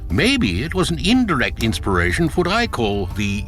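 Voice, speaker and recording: An actor playing a proud, genteel scientist-inventor in a radio drama, with cultured, wry, formal phrasing. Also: male voice, mid-range voice, wildly swooping pitch, normal speed, fairly bright tone, soft background music. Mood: confiding. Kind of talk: cinematic radio drama acting.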